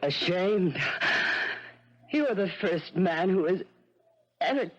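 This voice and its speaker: A woman's voice: lowish voice, animated. An actress playing a dying, spiteful woman who speaks weakly but defiantly in a 1970s radio drama. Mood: menacing. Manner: weak but defiant, slow and menacing. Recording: dull 1970s radio broadcast.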